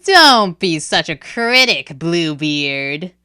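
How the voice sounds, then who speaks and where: A woman's voice: on the low side, big pitch swings, slow pace, pushed and bright, clean tone, dry recording, clean studio sound, full character voice. A woman voicing Kaokat, a sly grinning cat spirit. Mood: teasing. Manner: teasing, chuckling.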